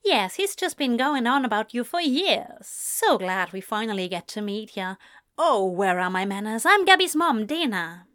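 A woman's voice: on the high side, big pitch swings, normal speed, fairly bright tone, no room sound, studio quality. A woman narrator voicing a chatty, friendly American mother who gushes informally in a story. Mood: gushing.

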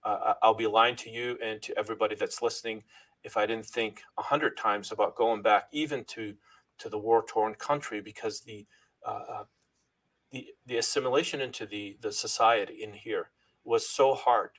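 A middle-aged man from Lebanon with a somewhat low voice, speaking as an interview guest. He talks earnestly and from the heart, circling back and restarting phrases as he goes, and thanks God along the way. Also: fairly even intonation, normal speed, fairly bright tone. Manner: religious, thanks God often. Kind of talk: personal story in an interview.

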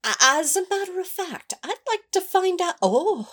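A woman's voice: very high-pitched, big pitch swings, normal speed, a bit bright, heavily acted. A woman playing a prim, tender male angel in a fan audio drama, speaking in a fussy, formal and earnest way. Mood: flustered.

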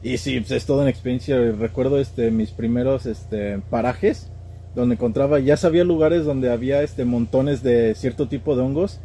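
A man's voice: mid-range voice, moderate pace, dark tone, slight background noise. A young man with a central Mexican accent, telling stories in a casual, slangy way as a podcast guest. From State of Mexico, Mexico. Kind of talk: casual conversational storytelling.

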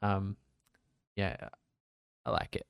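A man, a streamer, giving casual advice in a relaxed, rambling way with fillers and restarts, talking into a condenser desk mic. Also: fairly low pitch, slow pace, vocal fry, smooth, no echo, clean studio sound. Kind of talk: casual advice talk to camera. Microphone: condenser desk mic with pop filter.

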